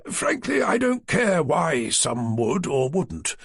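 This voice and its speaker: A man's voice: a little high, very animated, slow, gravelly, fairly bright tone, slightly rough, no echo, studio quality, pitched above the performer's own voice, heavily acted. A man voicing a fussy, flustered middle-aged male publisher who talks primly and defensively. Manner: prim and defensive.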